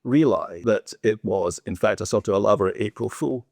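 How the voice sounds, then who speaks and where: A man's voice: lowish voice, fairly quick, vocal fry, no room sound, studio quality. A man with a Scottish accent co-hosting a podcast, telling wry stories from his teaching days in a casual chat. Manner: animated, wry, teasing. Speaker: podcast co-host, former teacher.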